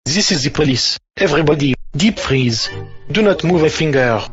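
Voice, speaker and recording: A man's voice: a little high, animated, normal speed, breathy edge, no room sound, lo-fi sound, soft background music. A man voicing a bumbling, pompous police officer puppet with a stilted, literal-minded manner. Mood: officious, stilted. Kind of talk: comic puppet show acting.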